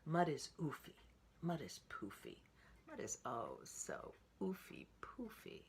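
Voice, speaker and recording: Storybook brother pig, read by a woman: silly, bossy boy, animated emphatic sing-song. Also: low voice, slow pace, whispered, exaggerated voice.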